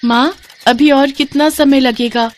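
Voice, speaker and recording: A woman's voice: high voice, steady tone, measured pace, slight background noise. A woman voicing a caring little daughter who worries about her mother. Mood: worried.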